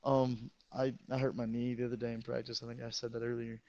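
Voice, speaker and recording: A teenage man, a high school football player, casually telling crude, joking, rambling stories to a friend. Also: flat delivery, quick pace, a little mellow, dry recording, dull audio.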